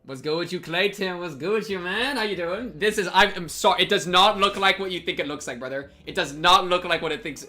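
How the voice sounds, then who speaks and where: Bright, highish-voiced young man streaming. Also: brisk, few pauses. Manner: goofy, slangy, chatty. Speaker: game streamer. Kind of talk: casual playthrough commentary and reading aloud. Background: game music.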